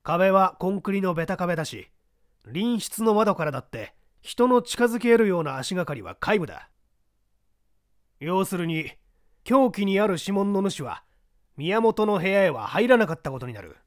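A man reading a mystery aloud, playing a seasoned older investigator who explains the case in a measured, testing way. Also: high voice, big pitch swings, no echo, studio quality. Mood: explaining.

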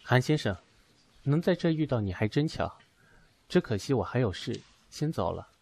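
A man's voice: medium pitch, big pitch swings, normal speed, creaky, a little mellow, slightly rough, heavily acted. Man acting a guarded, cold young man. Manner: reserved, hesitant, clipped replies. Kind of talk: amateur Chinese audio drama acting.

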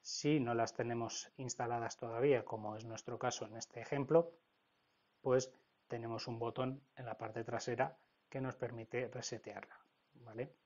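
A man with a Spanish accent from Spain walks through a software procedure step by step, often checking in with "¿vale?". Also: mid-range voice, fairly even intonation, normal speed, muffled recording. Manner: explanatory, frequent "¿vale?" check-ins. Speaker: product trainer. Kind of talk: screen-recorded software tutorial.

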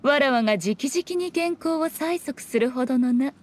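A fox shrine maiden from an anime video game. Her female voice sits high, with archaic, haughty phrasing. She comes across as sly, elegant and playful, over faint background noise. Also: expressive, normal speed. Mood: fond, amused.

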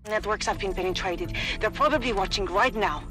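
High-pitched, insistent aide played by a man. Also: fairly quick, natural voice. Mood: urgent, exasperated. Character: urgent, exasperated male aide to an executive. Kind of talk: video game dialogue acting.

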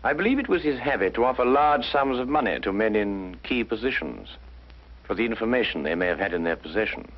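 An actor playing a brilliant, haughty English gentleman detective, precise and pompous, in the manner of 1950s television drama. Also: lowish voice, lively intonation, brisk. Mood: cool, probing. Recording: dull 1950s broadcast soundtrack, faint music.

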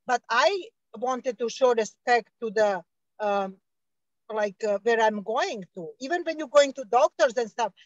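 A woman's voice: fairly high pitch, a little slow, no room sound, dull audio. A woman calling in to a talk show, speaking hesitantly with many restarts. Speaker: caller. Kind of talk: casual call-in talk.

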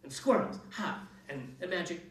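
A man's voice: medium pitch, moderate pace, pushed and bright. A man voicing a cranky, theatrical old female witch who grumbles and hisses sarcastically. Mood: mocking. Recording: echoey room, mic at a distance.

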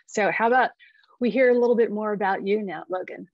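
A woman's voice: mid-range voice, a little fast, no room sound. Enthusiastic middle-aged woman on video call. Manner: warm, enthusiastic, hesitant restarts. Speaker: artist, co-host. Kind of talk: casual webinar introduction. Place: home studio on video call. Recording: dry, dull video call audio.

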